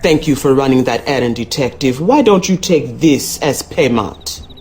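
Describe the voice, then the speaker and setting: An actor playing a canny, mysterious voodoo shopkeeper in a video game, folksy and unhurried, with a Southern US accent. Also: male voice, medium pitch, lively intonation, a little mellow, soft background music, close to an everyday voice. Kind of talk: video game character acting.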